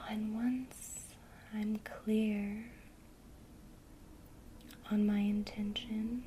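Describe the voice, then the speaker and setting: A woman teaching a witchcraft lesson in a soft, gentle ASMR whisper. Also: mid-range voice, a little hiss or hum, poor audio.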